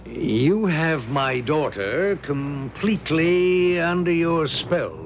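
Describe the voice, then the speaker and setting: Bemused dad, middle-aged, slow delivery, hesitant, 1970s radio play, dull hissy recording. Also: male voice, a little high. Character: practical, puzzled middle-aged American businessman father. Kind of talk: 1970s radio drama acting.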